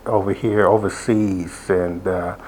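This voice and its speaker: A hoarse man, sick, hosting a casual college football betting recap, rambling with many fillers and restarts. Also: sits low, steady tone, takes it slowly, a little mellow, low-quality recording. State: hoarse from illness. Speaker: sports betting show host. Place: abroad, indoors.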